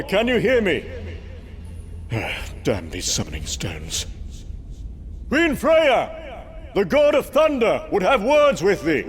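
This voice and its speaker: Brooding thunder god, slow and reverberant. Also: male voice, a little high, heavily acted. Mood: urgent. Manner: archaic, grandiose, solemn phrasing. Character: immortal male Norse thunder god, brooding, proud, battle-hardened. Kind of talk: dramatic comic-book audio drama narration and dialogue. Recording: long reverb, music underneath. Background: music.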